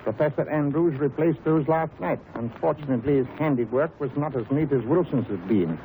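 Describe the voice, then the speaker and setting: A man playing a commanding, assured gentleman detective in a 1930s radio drama. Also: a little high, a little fast, lightly acted. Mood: assured, explanatory. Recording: tinny, hissy 1930s radio recording.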